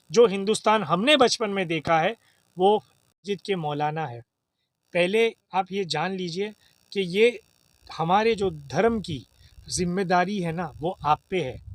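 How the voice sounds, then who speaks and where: A man's voice: high-pitched, no echo. A man from making an impassioned, exhortative political appeal, emphatic and repetitive, with English words mixed in. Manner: exhortative, emphatic, repeats phrases for effect; mixes English words into speech. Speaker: political activist giving a statement.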